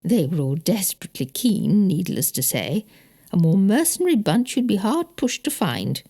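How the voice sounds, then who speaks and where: A woman's voice: medium pitch, very animated, moderate pace, dark tone. A woman voicing a prim, indignant older married lady with a British accent, emphatic and precise, in an audiobook. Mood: indignant, disdainful. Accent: British English. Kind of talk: audiobook character dialogue.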